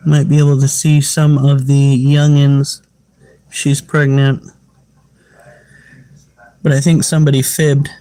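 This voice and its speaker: A man's voice: medium pitch, monotone, slow pace, long pauses, warm tone, low-quality recording. A man hosting a casual livestream, chatting and explaining how to care for his pets while answering chat. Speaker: livestream host and pet keeper.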